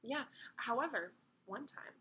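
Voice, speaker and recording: Give me a chatty young woman host with a bright, clear voice. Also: unhurried, highs cut off, a little hiss or hum. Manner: chatty, lots of "like", lively swings in pitch. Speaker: podcast host. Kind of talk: casual chat.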